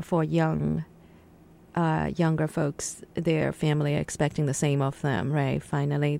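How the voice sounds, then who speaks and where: A woman from Shanghai, China, speaking haltingly and reflectively as a guest on a radio interview, recorded in a radio studio. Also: deep voice, gravelly, darkish tone. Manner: halting, reflective, many pauses and restarts.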